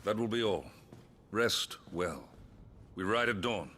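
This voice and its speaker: Very deep British duke, commanding. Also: male voice, animated, sharp tone, slight background noise, heavily acted. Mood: calm. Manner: measured, authoritative. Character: commanding, weary medieval duke and father. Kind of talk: dramatic fantasy game acting.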